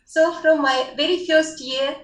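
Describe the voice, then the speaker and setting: Kazakh woman in her forties, guest dance teacher, unhurried, high voice, distant roomy mic. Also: fairly even intonation. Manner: hesitant, many fillers, earnest. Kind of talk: casual interview answers.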